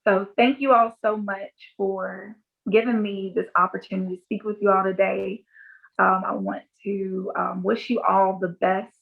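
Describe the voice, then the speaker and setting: Earnest woman with a gravelly edge. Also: medium pitch, flat delivery, measured pace. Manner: earnest, encouraging. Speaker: speaker. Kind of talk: motivational closing talk. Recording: tinny phone-like call audio.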